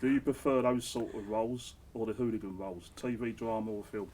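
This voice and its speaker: A man's voice: fairly low pitch, fairly even intonation, fairly quick, a little mellow, a little hiss or hum. Jokey man interviewing. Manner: jokey, blokey, reads out viewer questions. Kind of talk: casual interview.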